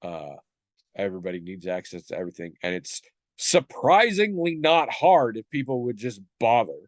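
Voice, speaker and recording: A man's voice: medium pitch, sing-song, normal speed, no room sound. Stuffy-nosed man rambling on a podcast. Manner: rambling, self-deprecating, stammering restarts. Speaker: podcast co-host. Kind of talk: casual chat.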